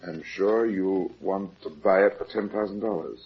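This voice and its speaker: An actor playing a cool, blunt private detective in a 1950s radio drama, terse and wryly deadpan. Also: male voice, deep voice, moderate pace, breathy edge. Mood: dry, knowing. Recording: muffled 1950s radio recording.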